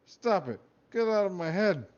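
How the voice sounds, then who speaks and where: A man voicing a panicky young male wizard student, high and strained. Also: expressive, normal speed, warm tone. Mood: panicked. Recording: dull lo-fi recording.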